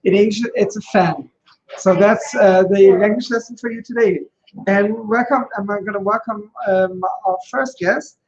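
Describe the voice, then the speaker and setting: A man's voice: high voice, moderate pace, a little mellow. Casual German-accented male co-host, storytelling, muffled recording. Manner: chatty, storytelling with fillers. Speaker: online co-host. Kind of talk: casual stand-up hosting.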